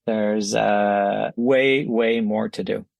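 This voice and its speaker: A man in his thirties with a slight French accent, a native French speaker, explaining things in a measured interview answer with some fillers. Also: fairly low pitch, steady tone, creaky, dry recording. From France. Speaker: podcast guest.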